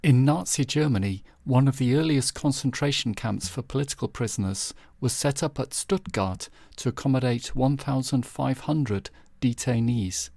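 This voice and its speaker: A man reading scripted, documentary-style news headlines in a measured, formal manner. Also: medium pitch, creaky, darkish tone, dry recording, clean studio sound. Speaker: narrator presenting historical news headlines. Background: background music.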